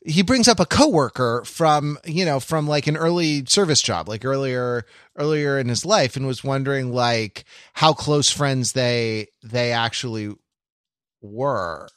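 A man co-hosting a podcast in casual analytical discussion, circling toward his point haltingly with many qualifiers. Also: medium pitch, a bit bright, clear, resonant tone, no room sound, clean studio sound. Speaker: podcast co-host.

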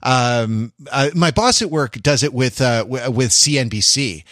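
A man's voice: medium pitch, animated, unhurried, fairly bright tone, dry recording, studio quality. A man hosting a podcast in casual chat, talking in a wordy, discursive, wry way. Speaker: podcast host. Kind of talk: casual chat about TV shows.

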